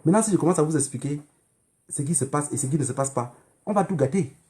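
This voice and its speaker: A man's voice: on the high side, lively intonation, fast talker, a little mellow. A man with a Cameroonian accent addressing viewers in a political livestream monologue, emphatic and admonishing, repeating phrases and throwing in rhetorical questions. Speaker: online activist livestreamer.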